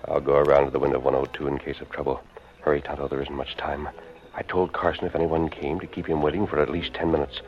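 Very deep, urgent western hero. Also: male voice, little pitch movement, quick pace, slight background noise. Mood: urgent, commanding. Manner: clipped, authoritative orders. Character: commanding masked western lawman hero, urgent and resolute. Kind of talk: old-time radio western acting. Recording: muffled vintage radio recording, faint music.

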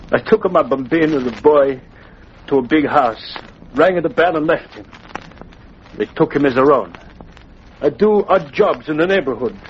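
A man's voice: medium pitch, close to an everyday voice. Guy playing worried italian immigrant dad, pleading in broken english, old radio drama, kinda muffled and noisy. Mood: anguished. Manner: broken English, refers to himself in third person. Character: anxious, pleading Italian immigrant father in trouble. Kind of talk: 1940s radio acting.

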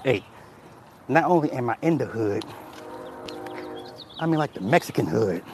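A man talks casually on a livestream, reading comments and telling stories. He speaks at an average pitch, swears freely, and laughs often. A faint hum of background noise sits behind his voice. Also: animated, normal speed, a little mellow. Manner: loose, profane storytelling, laughing at chat comments. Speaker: livestream host reading chat.

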